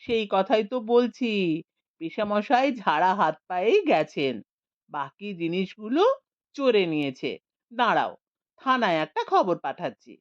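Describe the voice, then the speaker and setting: A woman's voice: medium pitch, sing-song, unhurried, no room sound, muffled recording, voice pushed down. A woman voicing an easygoing young village nephew who talks in a teasing, casual way.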